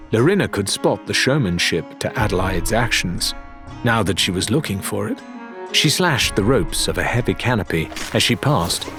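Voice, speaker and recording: A man's voice: on the low side, fairly bright tone. A man reading a dramatic adventure audiobook as narrator, in scripted storytelling style.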